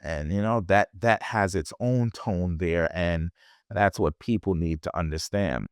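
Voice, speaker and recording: A man's voice: deep voice, a little slow, clear, resonant tone, no echo, clean studio sound. A man from Jamaica, a guest on a podcast, talking in a reflective way with frequent restarts. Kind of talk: conversational interview.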